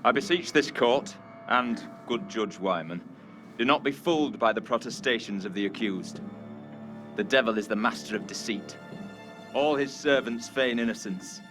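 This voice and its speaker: A man's voice: medium pitch, normal speed, over music, lightly acted. A man playing a zealous, accusing Puritan reverend in a video game, with formal, archaic, sermonizing oratory. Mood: solemn accusing. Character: zealous accusing 17th-century Puritan reverend at witch trial. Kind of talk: dramatic video game cutscene acting.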